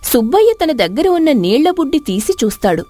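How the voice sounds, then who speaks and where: A woman's voice: sits high, sing-song, a little fast, mellow, no echo. A woman storyteller reading a scripted moral story as narrator. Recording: dry studio narration over music.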